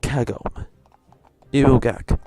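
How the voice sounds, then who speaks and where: A host giving casual, off-the-cuff reaction commentary in a hesitant, halting way. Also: medium pitch, fairly even intonation, mellow, no echo.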